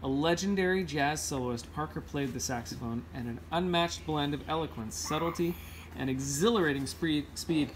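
A man's voice: a little high, moderate pace, slight background noise, low-quality recording. A man reading a children's picture book aloud informally, now and then stumbling and correcting himself. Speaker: read-aloud host.

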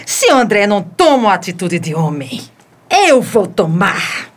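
A woman's voice: medium pitch, big pitch swings, moderate pace. A woman playing a troubled but determined schemer in a radio soap opera.

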